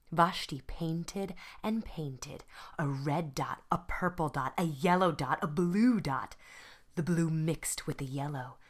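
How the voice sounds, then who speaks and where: Slow, expressive woman storyteller. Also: deep voice. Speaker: art teacher reading aloud. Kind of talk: children's storybook narration.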